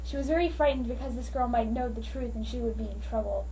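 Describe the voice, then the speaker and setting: A woman's voice: fairly high pitch, fast, mellow. A woman giving an amateur dramatic reading of a story in a theatrical, mock-serious manner. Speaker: amateur reader. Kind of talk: dramatic reading of fan fiction. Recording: noisy, distant home microphone.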